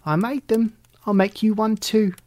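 A woman's voice: on the high side, unhurried, darkish tone, pitched above the performer's own voice. A woman doing a whiny little girl kitten. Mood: excited. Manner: whiny, exclamatory. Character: young girl kitten, dramatic and easily upset.

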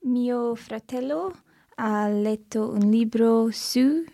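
Female student, slightly high mellow voice, slow hesitant answers, dry sound. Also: vocal fry, no echo. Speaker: language learner.

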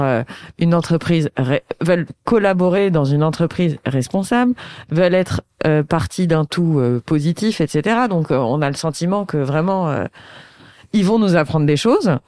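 A woman's voice: on the low side, a little mellow, dry recording, clean studio sound. A woman in her thirties interviewing a guest, talking thoughtfully and searching for her words. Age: 30s. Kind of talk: conversational interview.

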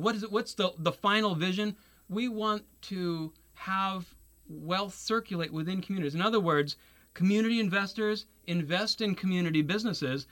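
A man with a bright, fairly high voice answers interview questions. He explains his ideas in an earnest, measured way, now and then restarting a phrase mid-thought. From Taiwan. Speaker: guest, securities lawyer. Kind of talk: radio interview.

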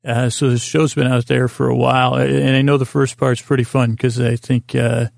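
A man with a gravelly, creaky voice hosts a bedtime show in a calm, lulling manner. His delivery stays flat and even as he meanders, hesitates and doubles back on his phrases. The sound is dry, with no room around it. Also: medium pitch, dry recording. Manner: hesitant, halting, circles back and repeats himself. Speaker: host of a sleep podcast. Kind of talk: meandering, rambling bedtime monologue.

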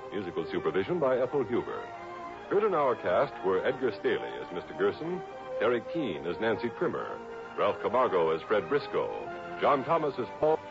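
An American man reading scripted announcements in a 1960s radio broadcast style. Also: mid-range voice. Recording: muffled vintage radio recording.

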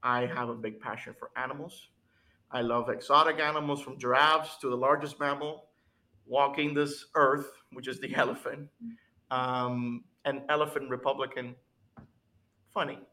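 A man from Cuba whose first language is Spanish, co-hosting a podcast and telling his story casually, halting and repeating words. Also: mid-range voice, steady tone, sharp tone. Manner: halting, repeats words, chuckles now and then.

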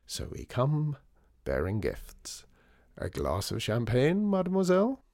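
A man voicing a shrewd former store owner who talks in a measured, knowing, slightly theatrical way. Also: mid-range voice, wildly swooping pitch, a little mellow, dry recording, studio quality, voice pushed up. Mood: wry.

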